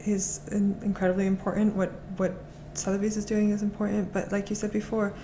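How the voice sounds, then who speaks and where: A woman's voice: medium pitch, monotone, fairly quick, mellow, a little hiss or hum. A woman speaking as a guest on a podcast, talking eagerly and often restarting her phrases. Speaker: guest, art auction specialist. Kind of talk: casual conversation.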